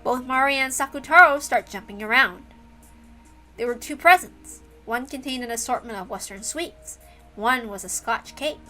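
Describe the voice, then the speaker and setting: Bright, high-voiced woman reading a story. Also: normal speed, faint music behind, low-quality recording. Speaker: reader and narrator.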